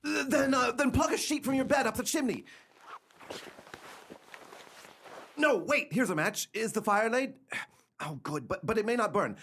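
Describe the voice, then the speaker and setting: A man's voice: very high-pitched, a little fast, fairly bright tone, a little hiss or hum. An actor playing an imperious, irritable invalid man in a radio play, bossy and impatient with fear underneath. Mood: panicked, urgent.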